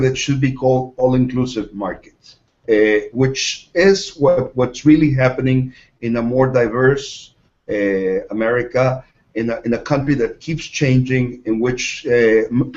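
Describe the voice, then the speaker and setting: A middle-aged man from Mexico talks through his answers in a measured way. He starts over often and pauses on fillers. The recording sounds dull and lo-fi. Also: mid-range voice, darkish tone. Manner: hesitant, many fillers and restarts. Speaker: ad agency president, guest. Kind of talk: interview answers.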